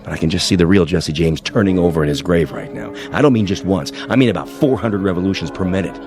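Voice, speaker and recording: An actor playing an earnest, principled supporting cowboy player in a radio drama. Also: male voice, low-pitched, fast talker, a little mellow, natural voice. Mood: wry. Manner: earnest, conversational. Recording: faint music behind the voice.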